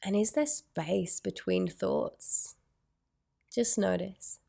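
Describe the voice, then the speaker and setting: A woman leading a guided meditation in a soothing, unhurried way, with gentle phrasing and long pauses. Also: medium pitch, huge pitch swings, creaky.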